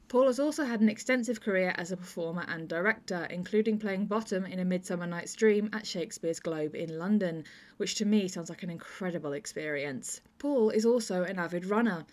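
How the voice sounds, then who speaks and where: A woman's voice: medium pitch, a little mellow. A woman hosting a drama podcast, presenting a scripted introduction in a conversational way. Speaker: podcast host introducing audio plays.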